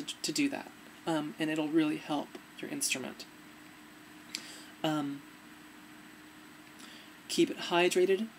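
A young woman talks into her webcam in a dim room, her voice a touch low and noticeably gravelly. She gives earnest, relaxed advice in a casual vlog manner, with faint hiss in the background. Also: rough recording. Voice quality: fairly deep, gravelly. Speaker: vlogger.

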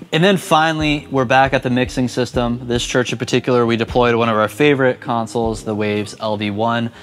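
A man in his thirties giving a conversational, practical tutorial to camera, speaking into a lapel mic. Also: lowish voice. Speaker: church audio instructor. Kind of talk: explanatory tutorial talk to camera. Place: indoors, equipment room and church hall. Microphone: clip-on lapel mic.

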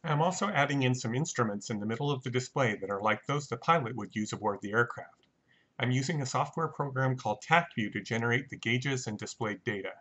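A man giving a measured, matter-of-fact scripted technical narration as an explainer. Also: medium pitch, a bit bright. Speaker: narrator of a flight reconstruction.

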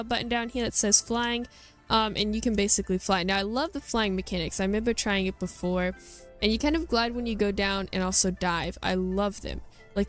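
A woman hosting a gaming video, giving a chatty, enthusiastic, casual walkthrough commentary. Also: mid-range voice.

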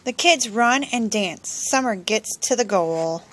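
A woman reading a children's picture book aloud in simple, expressive phrases for young listeners, recorded at home on a phone. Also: on the high side, slow. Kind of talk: children's storybook read-aloud. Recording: noisy home recording with clicks.

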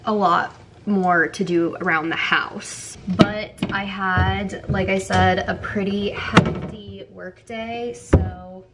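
A young woman vlogging casually at home, talking to the camera while doing her hair and starting dinner, with a relaxed, filler-heavy way of speaking. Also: mid-range voice, takes it slowly. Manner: chatty, relaxed, many fillers. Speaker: lifestyle vlogger. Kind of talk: casual vlog talk to camera. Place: home bathroom and kitchen, indoors. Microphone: camera mic at arm's length or on a stand. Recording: echoey room, faint background noise.

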